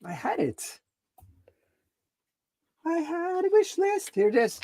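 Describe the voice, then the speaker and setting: A woman chatting casually as a co-host, excitable and quick to interject. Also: very high voice, dry recording. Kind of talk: casual chat.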